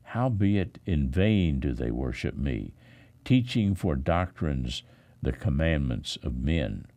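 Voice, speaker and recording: Deep-voiced man solemnly reading scripture. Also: very animated, mellow. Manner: deliberate phrasing with emphatic pauses. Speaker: pastor reading scripture aloud.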